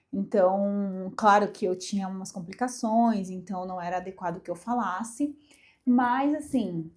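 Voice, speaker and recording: A woman's voice: medium pitch, mellow. A young Brazilian woman congested with a cold, chatting casually and emphatically to camera as a vlogger. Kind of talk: casual personal chat to camera.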